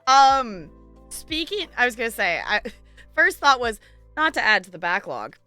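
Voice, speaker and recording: A woman's voice: fairly high pitch, sing-song, measured pace, sharp tone, smooth, dry recording, faint music behind. A woman chatting casually on a livestream in a playful, giggly, rambling way. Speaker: live game streamer chatting with viewers.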